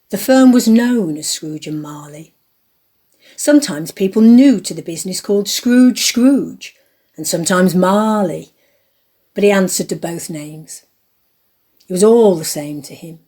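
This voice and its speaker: A woman narrates a storybook drama. Her voice is husky and mellow, and she tells it with deliberate emphasis. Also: mid-range voice.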